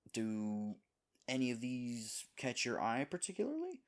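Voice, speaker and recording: A man's voice: medium pitch, full character voice. A man playing a lovable, nervous himbo boyfriend in an intimate audio roleplay, earnest and rambling. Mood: fond, playful. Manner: earnest, rambling, hesitant, dropping into breathy asides.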